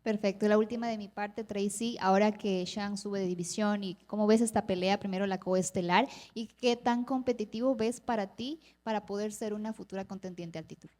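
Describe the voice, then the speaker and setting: A woman's voice: mid-range voice, steady tone, fairly quick, hardly any pauses, a little mellow. A woman reporter asking a fighter long, formal, complimentary questions with a hesitant delivery. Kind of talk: press conference question.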